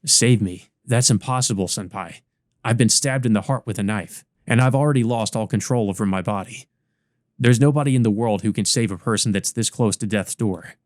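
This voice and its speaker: A man's voice: on the low side, a little fast, a little mellow, no room sound, studio quality, lightly acted. A man playing a weary, wounded teenage boy who narrates his own visual novel story in the first person. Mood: resigned.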